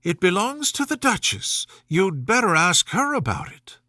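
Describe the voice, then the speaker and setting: A polite, chatty young storybook girl, played by a man, talks in a high, lilting voice. She sounds earnest and proper, with lively swings in pitch. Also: measured pace, no echo, studio quality, exaggerated voice. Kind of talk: storybook character voice.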